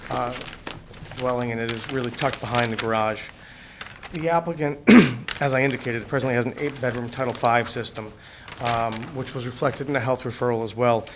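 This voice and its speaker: A man presenting an application as an attorney to a town board, measured and methodical, his voice hoarse from a cold. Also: mid-range voice. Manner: measured, methodical, with frequent "uh" fillers. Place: town meeting room. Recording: noisy public-access meeting recording.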